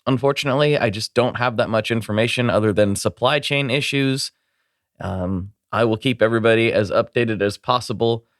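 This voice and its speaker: A man's voice: medium pitch, fairly quick, dry recording, studio quality. A congested man hosting a casual podcast, rambling and apologetic as he gives updates and greets a guest. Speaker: podcast host.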